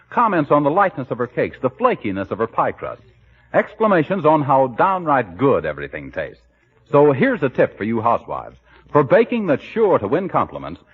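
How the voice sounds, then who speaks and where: A man's voice: medium pitch, slightly rough. A man with an American accent announcing and selling in scripted 1940s radio style, polished and emphatic. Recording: tinny, band-limited 1940s radio recording.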